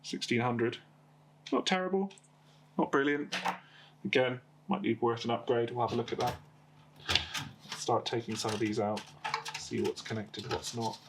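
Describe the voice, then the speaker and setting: Male host, croaky from a cold, hesitant workshop chatter, noisy home recording. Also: mid-range voice, moderate pace, long pauses. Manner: hesitant, many uh and um fillers. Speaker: host of a PC repair channel. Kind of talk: casual hands-on repair talk.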